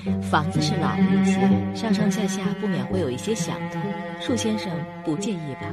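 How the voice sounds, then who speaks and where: An actress playing a lonely, mysterious landlady in a horror radio drama, speaking in a measured way. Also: medium pitch, lightly acted. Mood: composed. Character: eerie, lonely landlady of an old mansion. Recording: music behind the voice.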